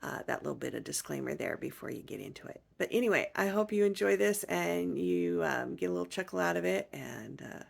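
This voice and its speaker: A woman chatting casually to viewers as a vlogger, halting and informal as she introduces a clip. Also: lowish voice, lively intonation, creaky.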